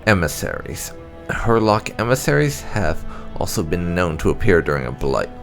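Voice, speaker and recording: A man's voice: deep voice, very animated, normal speed, vocal fry, faint music behind. A man reading game lore entries aloud as scripted narration. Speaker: gaming channel host.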